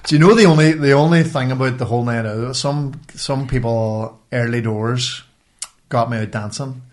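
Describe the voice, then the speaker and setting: A man with a Northern Irish accent and a mid-pitched voice, telling anecdotes in casual, colloquial, sweary conversation. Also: normal speed. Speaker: podcast host. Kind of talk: casual chat.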